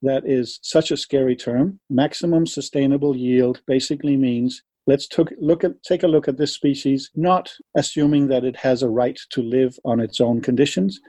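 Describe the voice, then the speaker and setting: Danish guy, english not his first language, mellow voice, dry close sound, walks you thru the numbers calmly. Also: medium pitch, dry recording. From Denmark. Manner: measured, argues step by step with numbers. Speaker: interviewee, wildlife advocate. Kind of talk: earnest explanatory interview talk.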